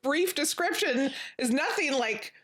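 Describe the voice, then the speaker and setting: A woman's voice: high-pitched, lively intonation, unhurried, fairly bright tone. A woman co-hosting a casual podcast, giggly and bantering, chiming in with short reactions. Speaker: podcast co-host.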